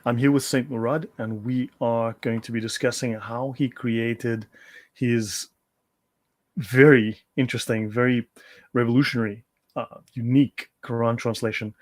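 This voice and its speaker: A man's voice: medium pitch, a little mellow. A man hosting a livestream over a video call, talking in a friendly, loose way with many fillers. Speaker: livestream host. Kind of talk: casual livestream chat.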